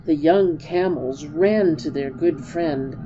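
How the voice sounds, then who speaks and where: A woman's voice: sits low, takes it slowly, highs cut off, background noise. A woman storyteller giving a dramatic reading of a story.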